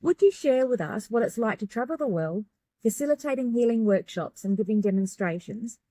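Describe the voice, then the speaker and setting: Mellow-voiced woman interview host. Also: fairly high pitch, expressive, brisk, no echo, low-quality recording. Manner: encouraging, affirming. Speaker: podcast host. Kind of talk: interview hosting.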